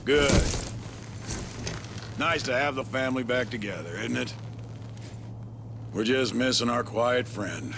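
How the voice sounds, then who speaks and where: An actor playing a sadistic, taunting renegade soldier with a menacing, mocking drawl in a video game cutscene. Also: male voice, medium pitch, unhurried, pauses often, background noise, natural voice. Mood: mocking menace. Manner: menacing, mocking drawl, swinging from calm to shouting.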